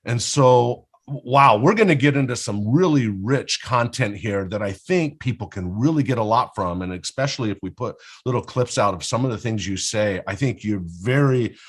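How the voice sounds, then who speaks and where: A man's voice: medium pitch, animated, moderate pace, hardly any pauses, slightly rough. A man hosting an interview podcast, speaking enthusiastically and effusively with rambling asides. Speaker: podcast host.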